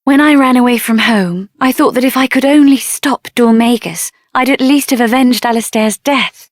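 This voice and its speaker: An actress voicing a spirited, headstrong young woman adventurer in a video game, expressive and earnest, with a tender side. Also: a little high, normal speed, dry recording, clean studio sound, close to an everyday voice. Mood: troubled, downcast.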